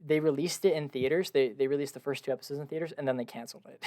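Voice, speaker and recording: A man's voice: a little high, steady tone, fast, a little mellow. Young male podcast co-host, enthusiastic fan, gushing and laughing. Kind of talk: casual film review chat. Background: washing machine at home.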